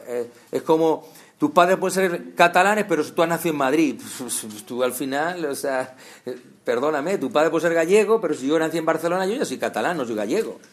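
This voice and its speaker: Male preacher, fairly high voice, chatty sermon with lots of questions to the listeners. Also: expressive, brisk. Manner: conversational, addresses listeners directly with rhetorical questions.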